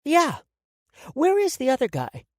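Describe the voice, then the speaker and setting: A woman voicing a calm, cruel woman who toys with her captives, speaking softly and with quiet menace. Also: high-pitched, a little slow, no room sound, studio quality, heavily acted. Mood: mock innocent. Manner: soft, coolly menacing.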